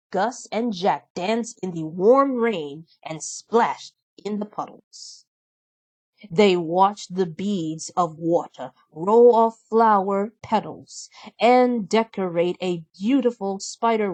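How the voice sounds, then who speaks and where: A woman reads a children's story aloud. She takes it at a slow, measured pace, in the style of scripted storybook narration. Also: medium pitch, a little mellow, no room sound. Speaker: storybook reader.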